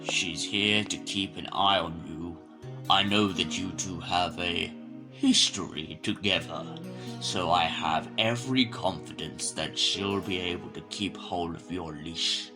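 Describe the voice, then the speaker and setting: An actor playing a stern, authoritative male president at war in an audio drama, speaking formally and gravely. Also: sits low, measured pace, pushed and bright, soft background music, full character voice. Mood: firm.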